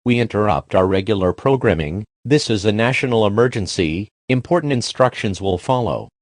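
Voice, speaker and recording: A man reading a scripted emergency broadcast alert in a formal, measured, official manner. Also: lowish voice, expressive, background noise.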